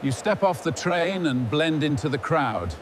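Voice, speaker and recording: A man narrating a scripted second-person story in a deadpan manner. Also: on the high side, a little slow, slight background noise.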